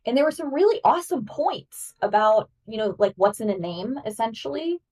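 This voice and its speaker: A woman's voice: fairly high pitch, animated, normal speed, darkish tone, recorded from a distance. Chatty woman sitting back from the mic. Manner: chatty, says "like" often. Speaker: podcast co-host. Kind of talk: casual podcast chat. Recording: voice a bit off-mic.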